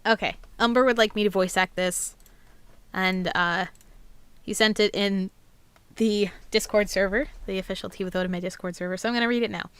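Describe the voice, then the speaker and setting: A woman's voice: medium pitch, fairly even intonation, moderate pace, a bit bright, smooth, no room sound. A young woman hosting a casual livestream Q&A, chatting in a rambling, informal way. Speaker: host, amateur voice actor.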